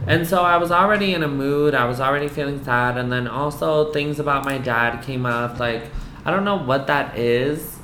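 A woman from the US East Coast telling a personal story to a host, candid and animated, filling her talk with "like" and some swearing. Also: a bass voice, moderate pace, slight background noise. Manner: frank, animated, peppered with "like" and swearing. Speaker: guest. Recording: roomy echo.

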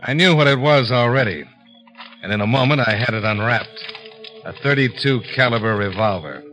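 An actor playing a cool, probing insurance investigator in a 1950s radio detective drama, clipped and wry. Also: male voice, medium pitch, normal speed, a bit bright, faint music behind, lightly acted, rough recording. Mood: terse narrating.

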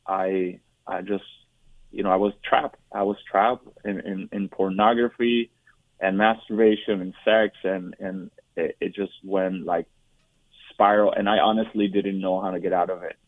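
A low, flat-voiced man from Puerto Rico in his forties tells his life story as an interview guest. He speaks haltingly, restarting sentences and leaning on fillers. The sound is dry and tinny, like a phone line. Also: dry recording. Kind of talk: personal testimony interview.

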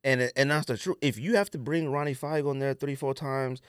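A man's voice: mid-range voice, steady tone, fairly quick, clear, resonant tone, dry recording, studio quality. A man co-hosting a podcast, talking casually and giving his opinions in an emphatic, slangy way, often repeating himself for stress. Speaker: podcast co-host.

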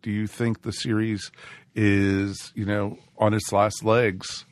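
A man's voice: lowish voice, little pitch movement, slow pace. A man hosting a casual live podcast, a little scratchy from a cold, rambling with lots of fillers. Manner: rambling, many fillers and restarts. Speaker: podcast host.

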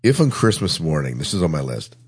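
A man's voice: very deep voice, big pitch swings, a little fast, breathy edge. An American man hosting a casual podcast chat, easygoing and often repeating short phrases of agreement. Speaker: podcast host.

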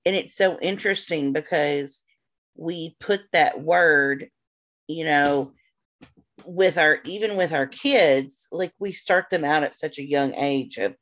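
A woman's voice: deep voice, takes it slowly, fairly bright tone. A woman co-hosting a podcast, chatting in an agreeable, easygoing way with fillers. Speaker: podcast co-host. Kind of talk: casual podcast chat.

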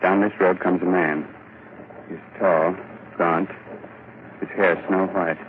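A man's voice: low-pitched, fairly even intonation, slow. A male host narrating and introducing a 1940s radio horror drama in a sinister, theatrical manner. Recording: tinny 1940s radio broadcast, hiss.

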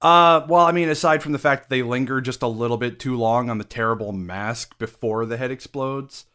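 A man's voice: medium pitch, fairly quick, pushed and bright, smooth. Stuffy-nosed man, rambling restarts. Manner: hesitant, many restarts and likes. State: stuffed-up nose. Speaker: podcast co-host. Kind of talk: casual movie review chat.

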